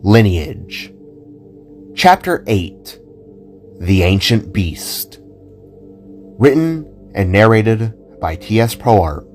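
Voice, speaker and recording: Male narrator, low pitch, dramatic scripted storytelling, faint music behind. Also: sing-song, drawn-out words, pauses often, darkish tone. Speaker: narrator and writer.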